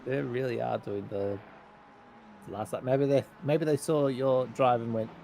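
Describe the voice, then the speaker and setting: A man's voice: mid-range voice, moderate pace, vocal fry, warm tone, a little hiss or hum. A man with an Australian accent giving chatty, rambling colour commentary on a sim race. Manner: chatty, rambling analysis with laughs. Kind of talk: sim racing color commentary.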